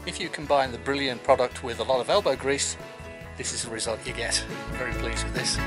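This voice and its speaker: A middle-aged man hosting a sailing vlog, talking in a relaxed, matter-of-fact way outdoors in a boatyard and narrating voice-overs. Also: mid-range voice, brisk, over loud music. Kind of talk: casual chat and voice-over narration.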